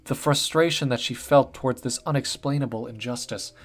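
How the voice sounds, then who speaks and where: A man's voice: mid-range voice, a little fast. Man reading a story aloud. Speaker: narrator.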